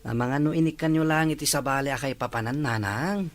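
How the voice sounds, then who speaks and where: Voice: medium pitch, quick pace, dry recording, close to an everyday voice. An actor playing a curious grown child questioning a mother in an Ilocano audio drama.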